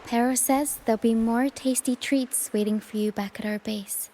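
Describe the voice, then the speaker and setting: A woman's voice: a little high, steady tone, moderate pace, warm tone, slight background noise, lightly acted. A woman voicing a caring, dutiful young female first mate in a video game. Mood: gentle.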